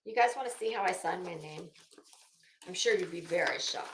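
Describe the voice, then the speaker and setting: A woman's voice: lowish voice, normal speed, slight background noise. A woman reading documents aloud dramatically, breaking off into sarcastic, brash, profane commentary as an online host. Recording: echoey room.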